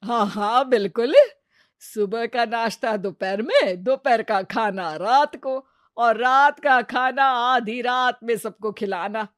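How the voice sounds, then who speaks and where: Woman playing exasperated middle-aged mother-in-law, sarcastic grumbler, fairly high voice, family moral tale. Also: slow, no echo, studio quality. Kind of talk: Hindi family moral story dramatization.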